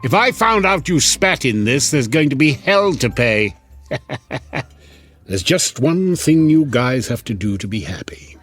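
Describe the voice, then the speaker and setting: An actor playing a sardonic, chatty devil in an audio drama, theatrical and mocking, with a husky voice. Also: male voice, mid-range voice, sing-song, a little slow, fairly bright tone, soft background music. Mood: indignant, sneering. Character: sardonic, wheedling male devil, casual and mocking.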